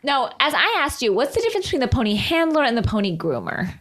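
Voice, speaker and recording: A woman's voice: on the high side, fast talker. A woman co-hosting a podcast, chatting casually and comedically about reality TV with a sarcastic manner and mocking little imitations. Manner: animated, sarcastic, swears and mimics others. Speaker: podcast co-host.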